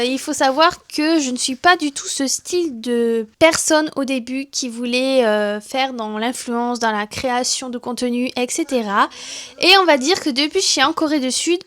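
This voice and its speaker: Woman, 30s, from southwest France; high-pitched, casual vlogger chat, filler-heavy. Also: fairly bright tone. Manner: chatty, many fillers and restarts.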